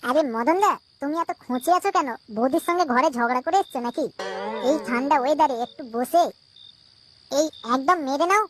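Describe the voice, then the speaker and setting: Squeaky, cheeky cartoon stoner. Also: male voice, brisk, music in the background. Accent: West Bengali. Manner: cheeky, coaxing, swearing. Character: cheeky, foul-mouthed male stoner buddy. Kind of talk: comic cartoon dubbing.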